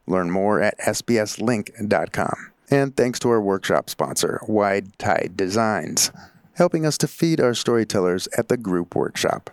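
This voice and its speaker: A male podcast host reads sponsor notes in a steady, even manner. His voice sits low and is recorded dry and close. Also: measured pace, no echo. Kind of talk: read sponsor announcements.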